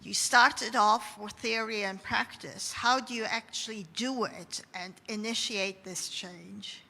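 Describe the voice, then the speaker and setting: A woman in the audience asking the speaker a question in a slow, deliberate way. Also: medium pitch, fairly even intonation, creaky, pushed and bright. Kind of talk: question from the floor. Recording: hall reverb.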